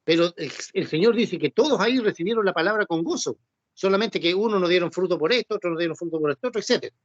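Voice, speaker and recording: A man's voice: high voice, animated, fast, no room sound. Man, Bible study teacher, rhetorical questions, dull lo-fi sound. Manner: conversational, repeats himself and asks rhetorical questions. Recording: dull, dry lo-fi recording.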